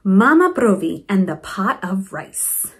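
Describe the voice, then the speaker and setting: A woman reading a storybook aloud to children in an expressive, encouraging way, with teaching asides. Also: medium pitch, takes it slowly. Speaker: principal reading a picture book to children.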